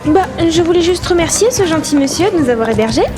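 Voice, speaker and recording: A woman plays a naive young traveller in a comic audio adventure. Her voice is very high, innocent and questioning with a gentle tease, set over music. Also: expressive, fast talker. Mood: curious, naive. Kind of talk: comic audio drama acting. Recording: music behind.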